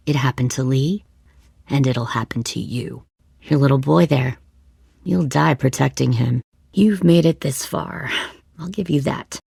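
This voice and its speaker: A woman acting a hardened, menacing leader of raiders in a zombie video game, speaking in a cold, coercive, deliberate way. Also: very deep voice, creaky, a little mellow, slightly rough, dry recording, clean studio sound, exaggerated voice.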